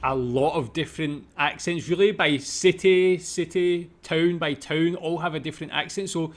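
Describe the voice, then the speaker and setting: Higher-voiced Scottish man giving casual reactions. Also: fairly even intonation, normal speed. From Scotland. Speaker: reaction video host.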